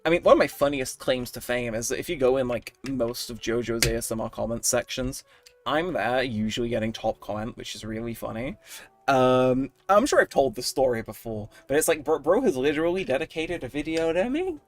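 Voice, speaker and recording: A man's voice: medium pitch, expressive, fairly quick. A young adult man chatting casually with viewers while streaming a game, rambling and poking fun at himself. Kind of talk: casual livestream chat while gaming.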